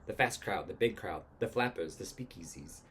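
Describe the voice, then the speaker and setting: A man's voice: deep voice, expressive, moderate pace, a bit bright, slight background noise. A man voicing a cocky, menacing 1920s gangster who talks in slangy tough-guy threats, read from a musical script. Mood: threatening.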